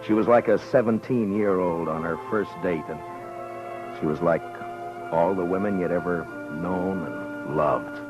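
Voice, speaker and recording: An actor playing a steady frontier marshal in a 1950s radio western, narrating in a slow, measured, low-key way. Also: male voice, muffled recording. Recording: old radio broadcast with music.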